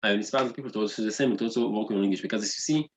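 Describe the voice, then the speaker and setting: A man in his thirties whose first language is Hebrew, hosting his own video podcast with excitable, repetitive talk that keeps calling out to "guys". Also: fairly low pitch, racing, slight background noise. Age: 30s. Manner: excitable, repeats words and says "guys" often. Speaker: podcast host. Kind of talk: enthusiastic solo video podcast intro.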